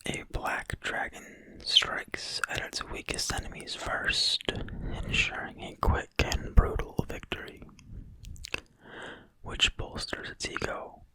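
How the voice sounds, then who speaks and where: A person reading aloud in a quiet, breathy whisper, slowly and soothingly, in an ASMR style. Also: dry recording, poor audio. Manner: quiet, slow, deliberate, soothing delivery. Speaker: ASMR video creator and reader. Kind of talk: whispered ASMR reading of a fantasy game manual.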